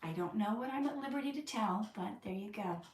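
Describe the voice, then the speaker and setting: A woman's voice: medium pitch, animated, a little fast, far from the mic, slight background noise. An actress chatting candidly in a casual Q&A, laughing easily as she answers questions. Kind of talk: casual livestream Q&A chat. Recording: roomy echo, voice from off-mic.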